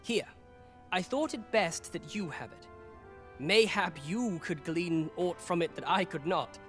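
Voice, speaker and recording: Young prince, earnest, hesitant, courteous archaic diction, fantasy game, faint music behind. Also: male voice, high voice, unhurried, fairly bright tone. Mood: earnest, modest. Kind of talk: fantasy video game voice acting.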